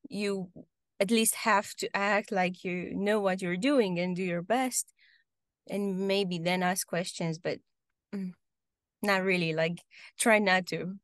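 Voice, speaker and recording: A woman's voice: medium pitch, fairly even intonation, slow. A young woman with a Romanian accent speaks on a video call from home, in a casual, hesitant way full of ums and small restarts. Her voice sits in a middle register. The sound is dry and close, with little room echo. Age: young adult. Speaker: guest. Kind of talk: casual interview answers.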